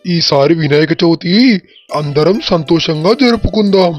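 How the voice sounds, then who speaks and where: A man's voice: a little high, normal speed, close to an everyday voice. A man playing a kindly, generous and appreciative village elder in a moral story cartoon. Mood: approving, generous. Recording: dull, muffled track with music. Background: background music.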